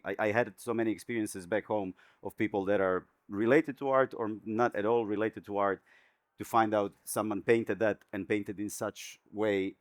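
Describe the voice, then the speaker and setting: A man from Croatia, hosting an art talk as curator and asking questions in a relaxed, thoughtful way. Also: fairly low pitch, no echo. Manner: relaxed, thoughtful, many backchannels. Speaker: curator and interviewer.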